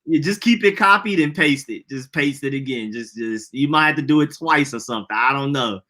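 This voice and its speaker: A man's voice: medium pitch, expressive, fairly quick, sharp tone. A young man co-hosting a podcast in casual, joking chat, laughing often. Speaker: podcast co-host.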